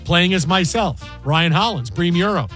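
A man hosting a sports talk radio show and running a quiz game, brisk and joking. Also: sits high, expressive, fairly bright tone, faint music behind.

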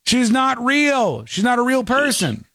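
Animated sarcastic male streamer. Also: extremely high pitch, measured pace, creaky, dry recording, studio quality. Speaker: streamer host reacting to clips. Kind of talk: casual live-stream commentary.

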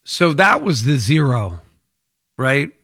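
A man's voice: medium pitch, big pitch swings, slow, sharp tone, husky. A man hosting a financial advice show, talking unscripted in a rambling, conversational way as if thinking aloud.

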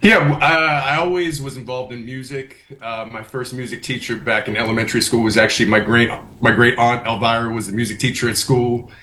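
A man's voice: medium pitch, steady tone, a little fast, few pauses, sharp tone, a little hiss or hum. A man from Baltimore, a musical theater actor, talking friendly and upbeat in an interview over a video call. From Baltimore, USA. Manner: friendly, upbeat, some fillers.

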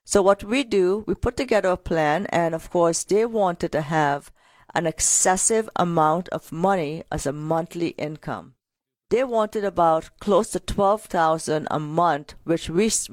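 A woman co-hosting a financial radio show, telling client stories in an energetic, talkative way. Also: on the low side, dry recording. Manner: energetic, storytelling with client anecdotes. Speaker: radio co-host, financial advisor. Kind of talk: casual radio finance talk.

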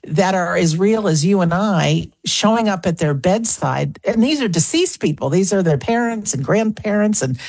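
Woman, low-pitched, husky, dry close sound, earnest radio guest. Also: expressive, normal speed, hardly any pauses, a little mellow, no echo. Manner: earnest, emphatic, rising inflections. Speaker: guest author. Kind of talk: radio interview answers.

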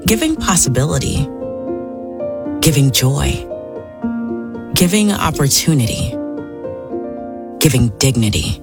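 A woman reading an inspirational ad in a slow, earnest way. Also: extremely low pitch. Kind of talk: inspirational ad voice-over. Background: music.

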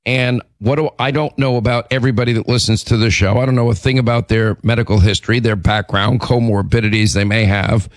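A middle-aged man hosting a talk radio show with a hoarse, raspy voice from laryngitis, clearing his throat and talking emphatically. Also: medium pitch, steady tone, fairly quick, hardly any pauses, no room sound. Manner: emphatic, repetitive, combative. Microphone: studio microphone.

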